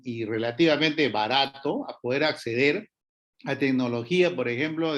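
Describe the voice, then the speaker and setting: Man speaking over dull lo-fi call audio. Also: mid-range voice. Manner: hesitant, many fillers and restarts. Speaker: panelist. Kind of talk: panel discussion remarks.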